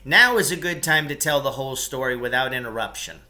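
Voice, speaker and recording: A man's voice: mid-range voice, lively intonation, fairly quick, sharp tone. A man voicing an earnest, emphatic male cave dweller explaining things to guests in a storybook.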